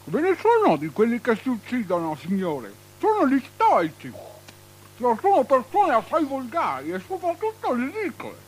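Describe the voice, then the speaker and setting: A haughty, swooping Roman courtier. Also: female voice, fairly high pitch, wildly swooping pitch, moderate pace, darkish tone, slight background noise, rough recording. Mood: disdainful. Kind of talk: theatrical radio drama acting.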